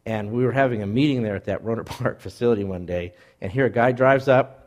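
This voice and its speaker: A man's voice: mid-range voice, fast talker, darkish tone. A middle-aged man, a newspaper columnist, chatting and rambling as he takes questions from an audience, laughing often. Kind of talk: casual Q&A talk with audience.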